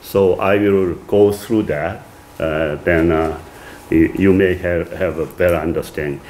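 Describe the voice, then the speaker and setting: Slow-speaking Korean-accented man lecturing. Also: deep voice, steady tone, a little mellow, a little hiss or hum. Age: middle-aged. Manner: many "uh" fillers, halting phrasing. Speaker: visiting lecturer. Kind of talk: academic lecture read from a manuscript. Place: wood-paneled reception room, indoors.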